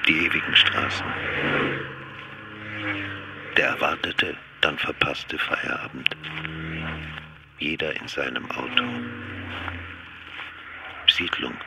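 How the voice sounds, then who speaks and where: A man's voice: a bass voice, creaky, background noise, heavily acted. An actor playing a brooding man who dictates terse, detached observations in a radio drama monologue. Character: brooding man dictating sparse notes on landscapes.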